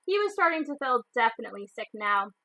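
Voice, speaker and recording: A woman storyteller reading a children's novel aloud in expressive narration. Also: fairly high pitch, fast talker, no echo.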